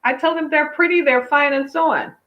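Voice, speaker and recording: A woman's voice: sits high, brisk. A woman in her fifties talking casually to viewers, emphatic and preachy, repeating her phrases for effect. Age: 50s. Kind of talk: casual opinion talk to viewers. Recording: dull lo-fi sound.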